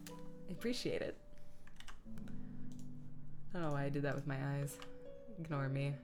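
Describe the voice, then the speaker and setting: A woman hosts a relaxed livestream in an unhurried, slow delivery, her voice slightly on the low side. She is silly and self-deprecating, drifting between chatting to viewers and muttering to herself as she works. Music with plucked guitar plays clearly behind her. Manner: playful, silly, self-mocking asides; mutters and hums to herself while working. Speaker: streamer and host. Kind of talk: casual livestream chat while editing. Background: background guitar music.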